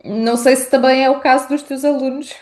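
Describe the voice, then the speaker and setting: A woman's voice: high voice, fairly even intonation, fairly quick, darkish tone. A woman, a podcast host and language teacher interviewing in a conversational style. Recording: roomy, echoey sound.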